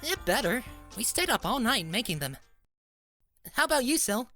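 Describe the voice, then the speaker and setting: High, lively young pony boy. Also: moderate pace, faint music behind. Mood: eager. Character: eager young cartoon pony boy, Midnight. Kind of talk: animated storybook fan drama.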